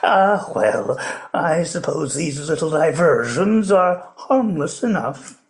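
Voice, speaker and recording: A man acts an elderly colonial plantation owner in a radio play. His voice sits fairly high and swings widely in pitch. He is genteel and pompous, hesitating and rambling courteously. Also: sing-song, slow pace, gravelly, full character voice. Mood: fussy, polite. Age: older.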